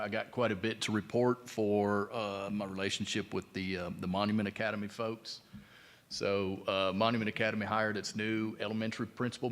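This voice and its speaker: Low-voiced man reading a board report. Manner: reads lists of names and awards, with fillers. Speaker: school board member.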